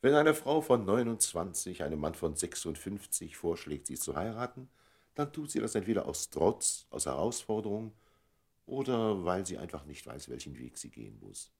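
A wise older gentleman diplomat in his fifties in a radio drama. His voice is low and husky, with very lively intonation. He speaks as a fatherly friend, in measured stage phrasing. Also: heavily acted. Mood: gentle, resigned. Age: 50s. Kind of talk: radio play acting.

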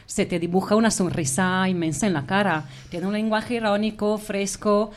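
A woman's voice: mid-range voice, steady tone, normal speed. A woman with a mid-range voice serves as narrator and presenter. She speaks expressively, addressing her listeners as she goes.

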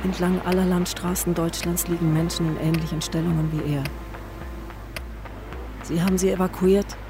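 A woman's voice: fairly low pitch, natural voice. An actress playing a grieving woman in a radio drama, waiting for her missing man and narrating inwardly in sparse, fragmented phrases. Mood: bleak, inward. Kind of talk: German radio drama, literary monologue. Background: music bed.

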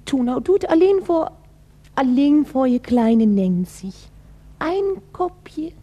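A cutesy, coaxing woman in a radio play. Her voice is high and soft-toned, drawn out slowly as she wheedles with sugary fondness. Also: big pitch swings, dull audio, full character voice.

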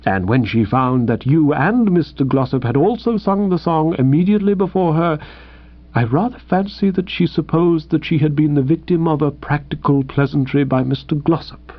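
A man voicing a composed, deferential male valet who speaks in a measured, formal, deadpan way. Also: on the high side, voice pushed up. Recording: slightly dull lo-fi recording.

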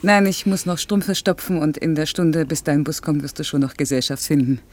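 An actress playing a proud woman in a radio drama, talking in a cool, brisk and faintly mocking way. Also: deep voice, a little mellow, natural voice. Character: proud woman coolly parting from a former lover. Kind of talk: naturalistic German radio drama acting.

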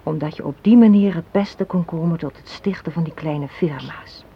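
Radio play, muffled with some hiss: a young, hard-minded cynic who lectures and challenges with pointed questions. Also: female voice, sits low, moderate pace. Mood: bitter, lecturing. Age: young adult. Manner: argumentative, lecturing, rhetorical questions. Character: hard-minded young woman, cynical about money yet loving. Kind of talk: Dutch radio play acting. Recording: dull, noisy old radio recording.

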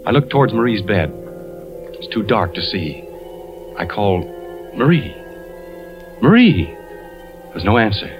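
Anxious investigator husband, hesitant confession, low voice, 1940s radio drama, dull recording. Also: male voice, big pitch swings, measured pace, long pauses, over music. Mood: alarmed. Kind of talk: 1940s radio acting, first-person narration.

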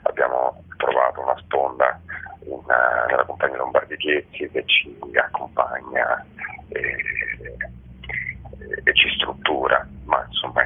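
A man giving halting, measured, reflective answers as a theatre director interviewed over a tinny telephone line. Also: extremely low pitch, slightly rough. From Rome, Italy. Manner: halting, measured, with pensive pauses. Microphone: phone call.

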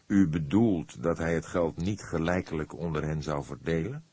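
Audiobook role: seasoned old detective, read by a male narrator, lowish mellow voice, slightly husky, measured and probing. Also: no echo.

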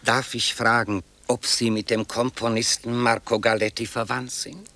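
An actor playing a courteous, educated architect in a radio play, speaking formally and deliberately. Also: male voice, medium pitch, little pitch movement, sharp tone, no room sound. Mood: polite, formal. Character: courteous, educated Polish architect, reserved lodger. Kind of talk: dramatic radio play acting.

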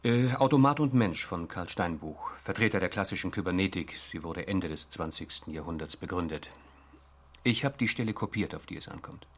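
A man's voice: sits low, animated, brisk. An actor playing an earnest, eager male investigator in a radio drama, explaining and insisting. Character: earnest, eager investigator reporting his findings.

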